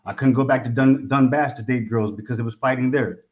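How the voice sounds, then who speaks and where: A man's voice: mid-range voice, steady tone, a little fast. A man from Texas with an American accent, talking casually as a guest in a slangy, familiar, talkative manner. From Texas, United States. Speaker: caller, expatriate guest. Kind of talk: casual conversation. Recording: dull lo-fi call audio.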